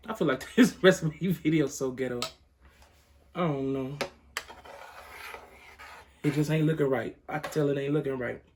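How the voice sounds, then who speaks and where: A man's voice: fairly high pitch, normal speed, long pauses, darkish tone, a little hiss or hum. A young man hosting a cooking video in his living room, chatting casually to viewers with a groggy morning voice. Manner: laid-back, chatty, addresses viewers as y'all. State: morning voice, just woke up. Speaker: cooking channel host. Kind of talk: casual recipe demonstration. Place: living room with a pot on a hot plate.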